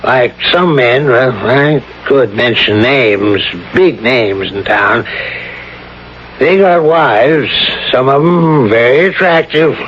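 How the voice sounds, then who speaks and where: A folksy small-town police chief on a dull, faintly noisy old radio broadcast. He probes bluntly and slyly, in colloquial phrases with insinuating pauses. His voice sits in an ordinary male range. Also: mid-range voice, animated. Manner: blunt, colloquial, sly questioning with drawled pauses. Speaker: actor in a radio mystery play. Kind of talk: vintage radio drama acting.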